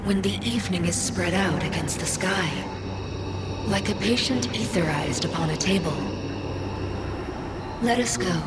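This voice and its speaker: A woman playing a cool, detached artificial intelligence in a video game, speaking in formal, measured, clinical phrases. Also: on the low side. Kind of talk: scripted game voice acting.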